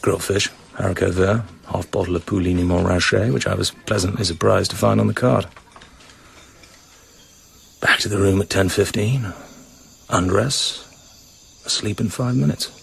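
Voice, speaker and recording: An actor playing a cool British secret agent in a radio drama, speaking in terse, clipped and often hushed phrases. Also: male voice, low voice, fairly even intonation, normal speed, creaky, pauses often, breathy edge, heavily acted. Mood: calm, recounting. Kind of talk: radio drama acting with internal monologue. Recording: radio drama with music underneath.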